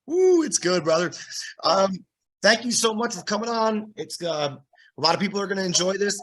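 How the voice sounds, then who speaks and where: A man's voice: high-pitched, very animated, normal speed, a bit bright. A man in his forties hosting a casual interview over a video call, laid-back with friendly bro talk. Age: 40s. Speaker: podcast host and drummer.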